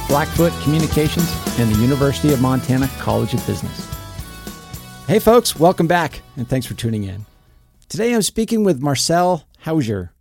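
A man's voice: mid-range voice, darkish tone. A man hosting an interview podcast, asking questions in a friendly, conversational way. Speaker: podcast host.

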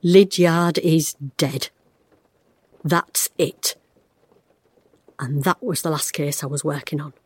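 Retired woman detective losing her memory, hushed halting monologue, dry close sound. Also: low voice, steady tone, slightly rough, no room sound, full character voice. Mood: dazed. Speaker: actor in an audio drama. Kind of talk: first-person audio drama narration.

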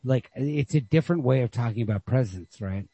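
A man's voice: mid-range voice, lively intonation, fairly quick, warm tone, breathy edge, no room sound. A man talking casually about literature as a podcast host, on a lo-fi home recording.

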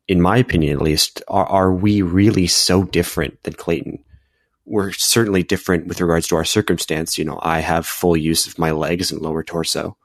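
A man on a podcast panel, speaking earnestly and in a measured way, with frequent fillers. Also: deep voice, no room sound, studio quality. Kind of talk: reflective discussion.

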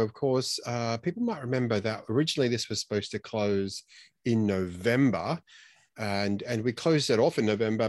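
A man's voice: medium pitch, no room sound. A man hosting a podcast, chatting casually with filler-heavy asides while judging photos. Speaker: podcast host.

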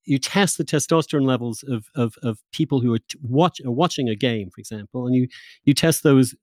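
A man's voice: medium pitch, brisk, dry recording. Male interviewer, mid-range voice, dry close sound. Discursive, informal intellectual conversation, occasionally sweary. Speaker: podcast host.

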